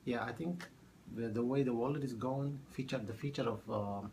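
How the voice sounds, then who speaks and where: A man in his thirties from Ethiopia, a math teacher answering oral history questions in a halting way with many fillers. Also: medium pitch, a little mellow, slight background noise. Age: 30s.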